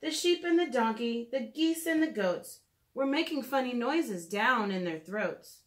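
Slow, high-voiced woman teaching young children. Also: lively intonation. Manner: clear, simple storytelling for young children. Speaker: children's educator reading and drawing. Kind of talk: picture-book read-aloud and drawing lesson for preschoolers. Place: small room at home, indoors.

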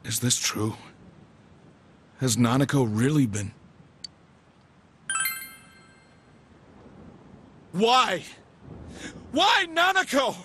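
Game role: brusque middle-aged cop and desperate dad, sharp, forceful, swinging widely in pitch. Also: male voice, wildly swooping pitch, slow, slight background noise, exaggerated voice. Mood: shocked. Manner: brusque, commanding, urgent. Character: gruff, hot-tempered middle-aged detective and desperate father. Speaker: police detective character. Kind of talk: dramatic game voice acting.

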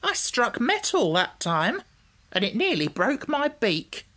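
A woman voicing a brisk, self-assured talking hen in a storybook, with a matter-of-fact, argumentative manner. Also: fairly high pitch, sing-song, fairly bright tone, pitched above the performer's own voice, exaggerated voice. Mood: indignant. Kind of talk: storybook character voice.

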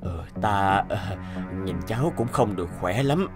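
A man voicing a serious, sympathetic middle-aged doctor in a cartoon dub, speaking in a measured manner. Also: lowish voice, expressive, over music. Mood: concerned, hesitant. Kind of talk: dubbed cartoon dialogue.

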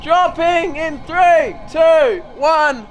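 High-voiced man, dry self-mockery. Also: very slow, faint music behind. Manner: dry, self-mocking, counts down drops. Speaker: co-host. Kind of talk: casual banter. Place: outdoors at a tower site.

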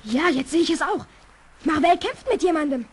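High-voiced, excitable young adventurer. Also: female voice, normal speed. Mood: excited. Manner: earnest, exclamatory. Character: anxious, excitable child adventurer nicknamed Stubbs. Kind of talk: 1970s German children's audio play acting. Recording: old audio drama, faint background noise.

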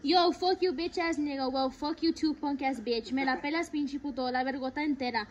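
Brash high-voiced young woman. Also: fairly quick, crowd noise. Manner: brash, profane, slangy. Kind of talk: casual chat with friends, switching English and Spanish. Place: dim living room with friends. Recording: busy room with people talking over.

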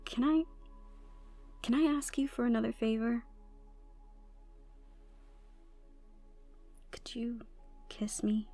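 A woman's voice: a little high, moderate pace, mellow, faint music behind, lightly acted. Woman acting a vulnerable goth college girl. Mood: shy, tender. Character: troubled tomboy goth college girl, vulnerable, shy, softly teasing. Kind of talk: intimate ASMR roleplay acting.

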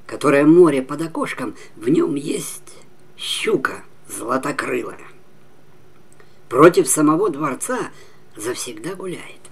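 A knowing old peasant woman from a storybook, as an elderly man plays her. She coaxes and instructs insistently in a husky voice. Her speech is slow, with big rises and falls. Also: fairly high pitch, full character voice.

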